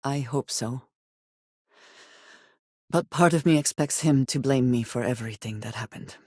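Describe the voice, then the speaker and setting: An actress voicing a guarded, solemn spacefaring companion in a video game, speaking in a measured, formal and blunt way. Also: female voice, very deep voice, mellow, breathy edge, dry recording, clean studio sound, full character voice. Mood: earnest, uneasy. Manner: measured, formal phrasing with few contractions. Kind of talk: scripted game character voice lines.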